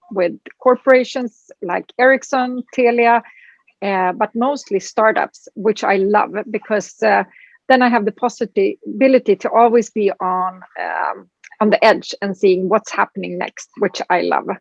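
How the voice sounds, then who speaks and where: Gravelly-voiced woman, dry dull sound. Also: mid-range voice, no echo. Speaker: panelist, speaker coach. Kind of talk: casual self-introduction.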